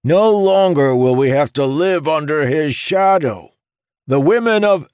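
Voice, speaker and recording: A man voicing an excitable, theatrical necromancer in an audiobook, blurting and shouting his proclamations. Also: on the high side, a little slow, clean tone, dry recording, studio quality, voice pushed up. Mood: loud proclaiming. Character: excitable, theatrical male necromancer, loud and incredulous.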